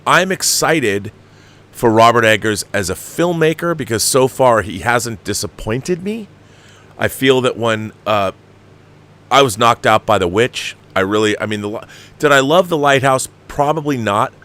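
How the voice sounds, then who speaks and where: A man's voice: mid-range voice, fairly bright tone. Guy hosting a movie livestream, mid-range voice, blunt opinionated rambling, repeats himself to make the point. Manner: exasperated, emphatic, halting, repeats himself for emphasis. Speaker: host of a film commentary livestream. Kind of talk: casual opinionated rant, answering viewer comments.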